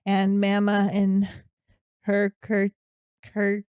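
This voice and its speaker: Podcast comedy role: flustered, dim young male student, played by a woman, painfully slow halting delivery, awkward strained voice, very dry sound. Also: medium pitch, monotone, darkish tone, clean tone, no room sound. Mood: halting, earnest. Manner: halting read-aloud, stumbling over and mangling words. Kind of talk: improvised comedy podcast character.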